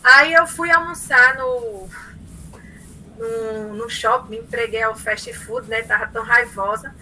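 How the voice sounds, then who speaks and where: A woman lecturer with a Northeastern Brazilian accent from Paraíba, giving an online class in an animated, storytelling way and often tagging her sentences with "né?". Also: fairly high pitch, unhurried, a little hiss or hum, poor audio. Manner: animated storytelling, frequent "né?" tags. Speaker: university lecturer.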